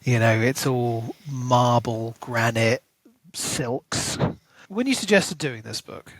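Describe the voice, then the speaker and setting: A man's voice: medium pitch, slow, dry recording. A man co-hosting a book podcast, chatting casually and enthusiastically, with plenty of ums and restarts. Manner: chatty, filler-heavy, enthusiastic. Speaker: podcast co-host.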